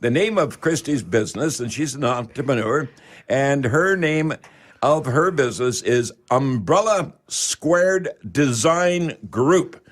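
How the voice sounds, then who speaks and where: A man's voice: medium pitch, lively intonation, takes it slowly, dry recording, clean studio sound. A man hosting a live radio call-in show in a folksy manner, repeating his catchphrases.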